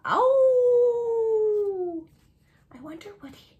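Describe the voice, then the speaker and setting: A woman voicing an excitable, playful puppy in a children's storybook, eager and exclaiming. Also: extremely high pitch, drawn-out words, phone-quality sound, pitched above the performer's own voice, full character voice. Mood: curious.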